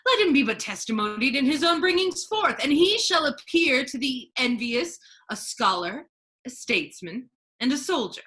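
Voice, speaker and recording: A woman's voice: sits high, big pitch swings, normal speed, pushed and bright, full character voice. A woman acting a dignified, indignant friar in a staged Shakespeare reading, speaking in a formal, righteous manner. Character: Duke disguised as a male friar, dignified, indignant.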